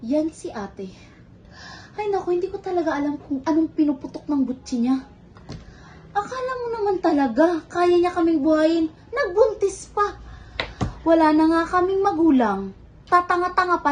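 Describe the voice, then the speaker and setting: A Filipino woman playing a rebellious student, the younger sister in a stage drama, with a theatrical, exasperated, sarcastic delivery. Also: very high voice. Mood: bitter, exasperated. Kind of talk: amateur one-act stage play acting in Filipino. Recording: faint background noise.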